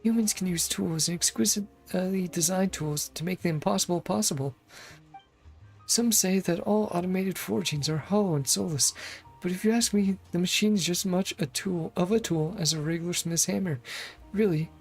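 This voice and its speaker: A streamer plays a polite, earnest inventor-blacksmith from a fantasy game. The voice is mid-range and creaky, with formal, measured explanations over music. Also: mid-range voice. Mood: earnest, explanatory. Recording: background music.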